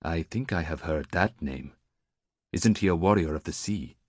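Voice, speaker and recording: A man's voice: low voice, normal speed, no echo, studio quality. A man voicing a young runaway nobleman turned miner, polite and cautious. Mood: wary.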